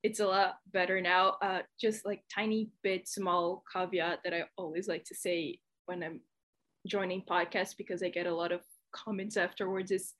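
Lively Brazilian-accented woman guest. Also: fairly low pitch. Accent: Brazilian Portuguese. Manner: animated storyteller, quick laughs. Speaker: podcast guest. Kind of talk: casual chat.

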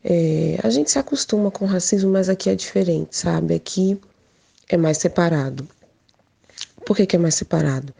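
A woman's voice: on the low side, moderate pace, creaky, dark tone, husky. A woman giving an informal, reflective personal account in a conversational way. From Portugal. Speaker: guest speaker sharing personal experience.

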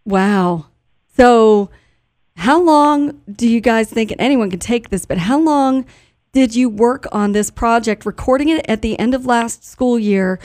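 A woman with a slightly high voice hosts a casual radio interview. She is chatty and conversational, and the sound is dry with no room around it. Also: darkish tone, no room sound. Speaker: radio show host interviewing students. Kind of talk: live interview, casual chat.

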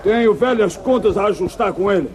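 An actor playing a boastful, domineering outlaw of the backlands in a 1950s radio serial. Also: male voice, high voice, brisk, darkish tone, lightly acted. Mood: angry, boastful. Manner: domineering, threatening.

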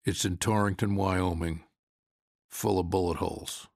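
A man's voice: deep voice, steady tone, a little slow, gravelly, no room sound, clean studio sound, heavily acted. A man reading the part of a big, stern small-town sheriff in a story, folksy and blunt. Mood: stern, accusing.

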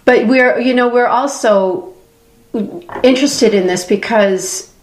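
A woman's voice: a little high, takes it slowly, slight background noise. A woman from Boston with a Boston accent, co-hosting a podcast in casual chat, rambling and restarting her thoughts. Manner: rambling, restarts her thoughts, quotes others in animated asides. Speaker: podcast co-host. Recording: echoey room.

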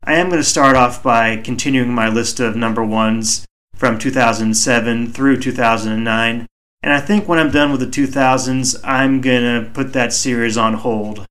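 A man hosting a solo hobby podcast, speaking in a measured, reflective way with a soothing voice, recorded on a home microphone. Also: mid-range voice, fairly even intonation, fairly bright tone. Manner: measured, reflective, deliberately slow. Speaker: podcast host.

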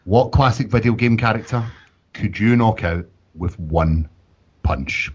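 A man's voice: fairly low pitch, measured pace, slightly rough, highs cut off. A man hosting a podcast in casual conversation. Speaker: podcast host.

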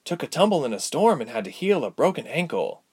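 A guarded, serious woman of a fantasy storybook, acted out by a man. The pitch sits raised, and the words come terse and earnest, like someone protecting those close by. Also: sing-song, a little fast, a little mellow, slightly rough. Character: Ilse, guarded adult woman ice chanter, earnest and terse.

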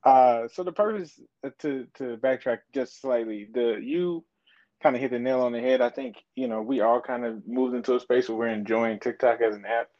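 A man's voice: medium pitch, normal speed, darkish tone. Man in his twenties, podcast guest, thoughtful hesitant talk, lo-fi dull audio. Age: 20s. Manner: thoughtful, hesitant with many fillers. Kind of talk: casual interview chat.

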